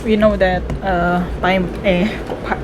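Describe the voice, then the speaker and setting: A woman's voice: on the low side, level tone, slow. A woman with an Indonesian accent giving casual, reactive playthrough commentary, chatting back at game characters. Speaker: gaming streamer. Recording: clear game noise behind voice.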